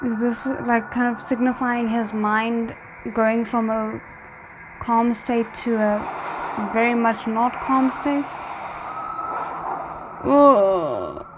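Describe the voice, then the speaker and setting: A woman's voice: fairly high pitch, slow pace, long pauses, background noise. A woman giving casual reaction commentary as a host, musing and thinking aloud. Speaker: host of a drama reaction channel.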